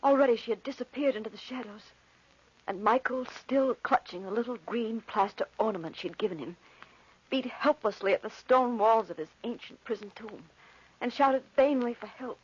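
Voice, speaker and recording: An actress playing an anxious young bride in a 1940s radio drama, emotional and breathless, with a husky, breathy voice. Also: female voice, a little high, normal speed. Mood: haunted, relieved. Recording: muffled old radio recording.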